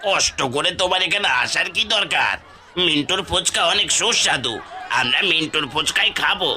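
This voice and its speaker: An actor playing a scornful, bullying villager at a market in a cartoon, mocking and hectoring. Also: male voice, fairly high pitch, animated, moderate pace, sharp tone. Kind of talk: cartoon dubbing.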